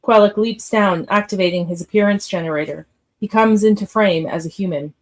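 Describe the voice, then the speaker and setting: A woman's voice: mid-range voice, fairly quick. Female narrator, mid-range voice, reading scripted stage directions for a live radio drama.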